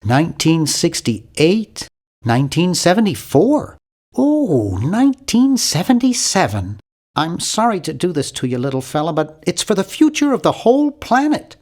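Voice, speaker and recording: A man's voice: a little high, huge pitch swings, measured pace, a little mellow. A man voicing a nerdy, timid young adventure-game hero, bookish and polite, with a nasal voice and an awkward, earnest, fussy manner. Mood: hesitant, observant. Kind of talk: video game character voice lines.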